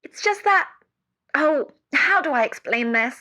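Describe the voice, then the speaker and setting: A woman's voice: high voice, expressive, measured pace, pushed and bright, heavily acted. A woman playing an anxious, devoted caller to a radio advice show in an audio drama, hesitant and earnest. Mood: worried. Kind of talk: scripted audio drama phone-in.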